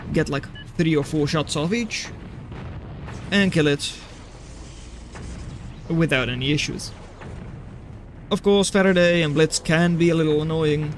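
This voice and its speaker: High-pitched male game caster. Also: a little hiss or hum. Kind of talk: live esports-style replay commentary, chatting with viewers.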